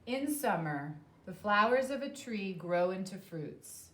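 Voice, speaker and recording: Very slow-paced woman reading aloud. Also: medium pitch, expressive, slight background noise. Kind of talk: children's nonfiction read-aloud.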